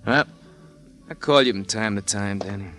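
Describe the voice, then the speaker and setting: An actor playing a sly, cocky American murderer in a 1950s radio crime drama, with slangy, needling delivery. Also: male voice, fairly low pitch, normal speed, fairly bright tone, soft background music, close to an everyday voice. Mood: smug, sly. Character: cocky, sly male murderer and crook, bribing a cop.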